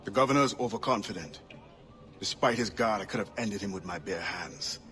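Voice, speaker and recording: An actor playing a proud, guarded assassin who was once enslaved, speaking in a grave, formal, wary way in a video game cutscene. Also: male voice, fairly low pitch, brisk, sharp tone. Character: proud, guarded freed-slave assassin, adult man.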